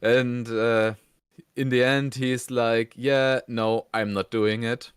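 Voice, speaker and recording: A man co-hosting a casual review, retelling scenes in a hesitant, halting way. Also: medium pitch, level tone, clean tone, dry recording, clean studio sound. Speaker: reviewer, co-host.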